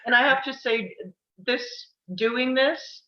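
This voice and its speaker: A woman's voice: a little high, fairly even intonation, slow, muffled recording. A middle-aged woman talking casually and earnestly in a group discussion, with emphatic phrasing. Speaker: table read participant. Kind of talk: casual post-reading discussion.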